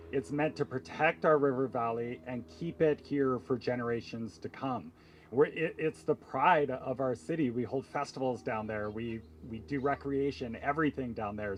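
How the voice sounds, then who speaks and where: A man in his forties, a council candidate, giving a firm, slightly halting statement into a mic in an outdoor tent. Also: mid-range voice, a little hiss or hum. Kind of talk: candidate forum speech. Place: outdoor tent at a community forum. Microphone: mic on a stand.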